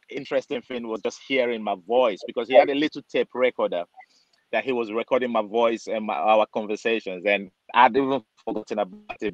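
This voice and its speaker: A man from Nigeria who works as a barber tells a long story on a video call. He talks chattily, fills it with "um" and "like", and slips into the voices of the people in it. His mid-low voice comes through a dull, dry, lo-fi webcam line. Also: no echo. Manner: chatty, acts out dialogue, many fillers.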